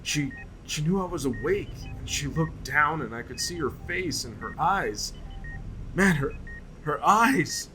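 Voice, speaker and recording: A man's voice: on the high side, animated, measured pace, bright, forceful tone, a little hiss or hum, low-quality recording. A man playing a terrified, hysterical hospital patient in a horror audio drama, stammering and frantic. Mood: shaken, recounting fearfully. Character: terrified, hysterical male patient who escaped a monster.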